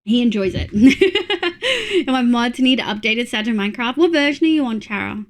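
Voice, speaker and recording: A young woman with a slightly high voice talks casually with her viewers, thinking aloud with little fillers. She sounds a bit congested from a cold. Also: lively intonation, normal speed. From Australia. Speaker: streamer. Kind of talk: casual chat reading viewer messages.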